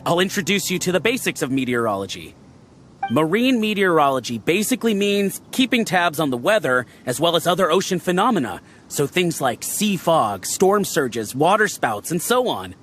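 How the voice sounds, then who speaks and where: A cheerful sailor weather-watcher. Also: male voice, a little high, slight background noise. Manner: relaxed, friendly and instructive, proud of his work. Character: friendly male ship weather-watcher, confident and chatty. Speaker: crew meteorologist. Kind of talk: game character dialogue. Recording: game audio with faint background music.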